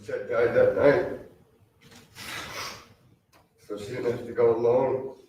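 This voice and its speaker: A man's voice: mid-range voice, steady tone, takes it slowly, darkish tone. A man giving a victim impact statement as a bereaved husband in court, recorded in a courtroom. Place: indoor courtroom. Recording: echoey, distant, muffled, noisy courtroom audio.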